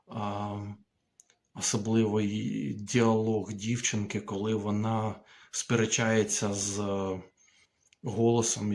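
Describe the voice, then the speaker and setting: A man speaking as an author in an informal recorded message, hesitant and pausing between short phrases. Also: fairly low pitch. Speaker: book author.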